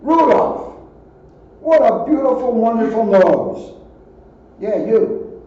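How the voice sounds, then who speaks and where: Storybook Santa, kindly excitable old man played by a male reader, muffled roomy recording. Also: very high voice, takes it slowly, recorded from a distance, voice pushed up, heavily acted. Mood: amazed.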